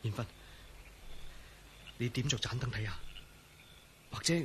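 A man's voice: medium pitch, a little slow, a bit bright, slight background noise, close to an everyday voice. An actor playing a desperate, horrified man in a Cantonese radio drama, overwrought and pleading, with a Hong Kong accent. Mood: pleading, fearful. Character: anguished, desperate man horrified at becoming a living skeleton.

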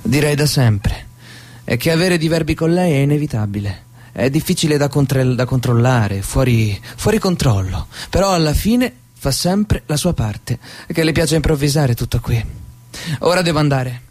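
A man's voice: a little high, lively intonation, moderate pace, a little mellow. Man acting an enigmatic, cryptic desert guide. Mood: defensive, familiar. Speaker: actor in a radio play. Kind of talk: theatrical radio drama dialogue.

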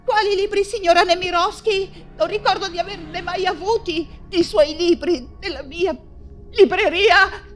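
An actress playing an anguished, feverish persecuted writer and mother in an intense theatrical radio monologue, halting and repeating her words. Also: very high-pitched, a bit bright. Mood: bewildered, distressed. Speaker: stage actress.

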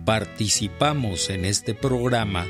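Male credits announcer, formal and slow, medium pitch, music clearly behind. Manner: measured, formal. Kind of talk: scripted radio credits announcement.

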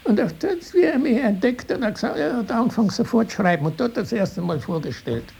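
An older man with a Tyrolean Austrian accent, recalling his life in spontaneous, halting, rambling talk. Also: high voice, animated, vocal fry, darkish tone, husky. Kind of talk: spontaneous oral reminiscence. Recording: hissy archival interview tape.

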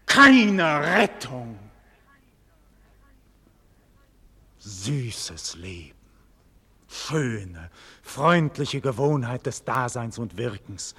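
An actor playing a dignified condemned nobleman in a 1940s German radio play, declaiming solemnly with breathy, husky murmurs and loud cries. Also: medium pitch, big pitch swings, slow, full character voice. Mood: anguished farewell. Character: doomed nobleman facing execution, dignified, passionate, grave. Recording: vintage 1940s radio studio recording.

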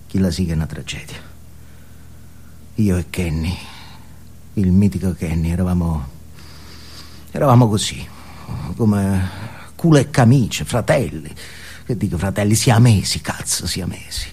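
A man's voice: sits low, lively intonation, measured pace, long pauses, warm tone, breathy edge, full character voice. Man playing a volatile southern Italian biker burnout. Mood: brooding, nostalgic. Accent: southern Italian, Neapolitan-tinged. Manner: ranting, profane, swings between outbursts and confiding storytelling. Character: foul-mouthed, hedonistic middle-aged male biker burnout, volatile and boastful. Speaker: stage actor. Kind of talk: theatrical comic monologue.

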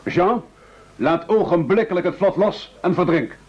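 An actor in a radio play playing a pompous, coldly domineering gentleman who gives orders with mock civility. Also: high voice, measured pace, husky. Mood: curt, imperious. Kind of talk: absurdist radio play acting. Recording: muffled old radio play recording.

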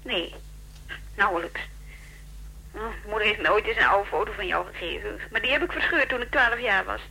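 An actress playing an aloof, mocking twenty-year-old daughter in a radio play, answering curtly. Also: female voice, medium pitch, moderate pace, vocal fry, bright, forceful tone. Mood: cool, curt. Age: young adult. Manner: curt, mocking. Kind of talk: Dutch radio drama acting. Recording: noisy, humming radio play recording.

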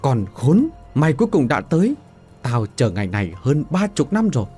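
A man's voice: medium pitch, very animated, moderate pace, dark tone, husky, soft background music. A man voicing a vengeful female ghost who speaks in a raised, anguished voice. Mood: vengeful fury.

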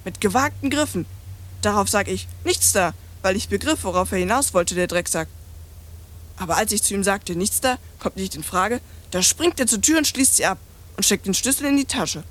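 A woman's voice: mid-range voice, animated, moderate pace, close to an everyday voice. Radio play: adult actress as brash young girl, precocious and scornful, bossy, slangy chatterbox. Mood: gleeful storytelling. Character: cheeky, streetwise, precocious young French girl, bluntly scornful.

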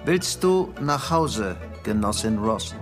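A secretive Soviet security chief in a radio play. He is insistent and patronising, with highly mobile intonation. Music plays behind him. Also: lively intonation, slow pace. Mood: persuasive. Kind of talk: radio drama acting.

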